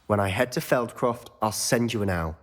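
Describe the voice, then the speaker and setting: A man's voice: fairly low pitch, moderate pace, natural voice. A young man playing a confident, inquisitive teenage wizard schoolboy in a video game, eager and probing in conversation. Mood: confiding. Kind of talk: dramatic video game dialogue. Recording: long reverb with music behind. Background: music.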